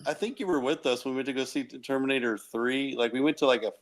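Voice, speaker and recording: A man's voice: mid-range voice, quick pace. A middle-aged man co-hosting a podcast, chatting casually and jokingly with friends. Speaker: podcast co-host.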